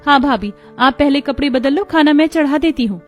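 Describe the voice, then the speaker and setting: A woman's voice: sits high, fairly quick, soft background music, pitched above the performer's own voice, natural voice. A woman voicing a caring, helpful young sister-in-law in a cartoon story.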